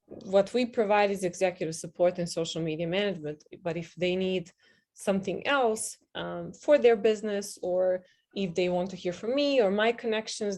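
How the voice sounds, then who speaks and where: Woman, Serbian accent, video call, friendly, hesitant, lots of fillers, mid pitch. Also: darkish tone. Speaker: agency founder and guest. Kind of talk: casual online networking call.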